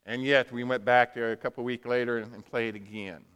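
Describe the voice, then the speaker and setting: A man's voice: medium pitch, steady tone, fairly quick, a bit bright, smooth. A man preaching a sermon as a dramatic storyteller, in short punchy phrases and acted-out dialogue, in a large reverberant room. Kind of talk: sermon with animated personal storytelling. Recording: reverberant hall.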